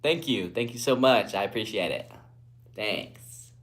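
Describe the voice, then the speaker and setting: A young man from Guatemala on a casual live stream, reading comments aloud and answering in a laid-back way, often trailing off quietly, through a phone propped on a ledge. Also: fairly low pitch, animated, measured pace. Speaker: livestreamer.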